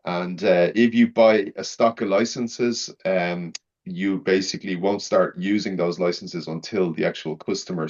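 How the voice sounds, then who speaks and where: A man presenting in an informal, promotional webinar style over lo-fi online audio. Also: low voice. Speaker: company presenter.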